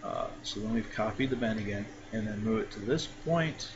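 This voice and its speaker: Relaxed man explaining software steps. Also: on the low side, normal speed. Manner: relaxed, talks through each step with fillers. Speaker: software tutorial instructor. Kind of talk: casual screen-recorded tutorial. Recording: dull lo-fi mic with clear background noise.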